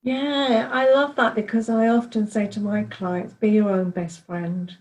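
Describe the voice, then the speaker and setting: A woman's voice: mid-range voice, steady tone, unhurried, a little mellow, poor audio. A woman hosting a podcast interview, chatty and encouraging as she introduces and talks with her guest. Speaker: podcast host.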